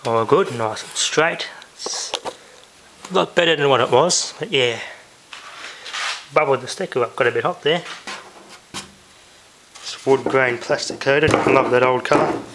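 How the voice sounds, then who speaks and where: Aussie guy muttering through a diy fix, medium pitch, noisy camera mic with clicks. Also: a little slow, pauses often. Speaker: DIY vlogger. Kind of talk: casual hands-on repair commentary. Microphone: handheld camera's built-in mic.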